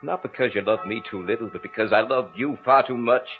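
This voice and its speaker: An actor playing a brooding, obsessive, lovelorn swamp-estate owner in an old-time radio drama, intense and by turns coaxing and commanding. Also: male voice, mid-range voice, a little fast, lightly acted. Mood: anguished, obsessive. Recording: muffled old radio recording with music.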